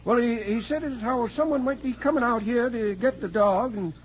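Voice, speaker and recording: An actor playing a folksy, plain-spoken rustic caretaker with a drawling, homespun manner in a 1940s radio drama. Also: male voice, extremely high pitch, normal speed. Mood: laconic, folksy. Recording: dull, noisy 1940s radio recording.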